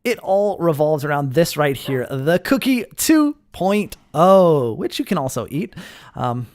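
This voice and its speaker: Man, slightly high voice, highly varied pitch, casual gameplay commentary, explains to viewers. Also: sing-song, measured pace, darkish tone, dry recording. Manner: explanatory, addressing viewers as guys. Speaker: host of a Minecraft mod showcase.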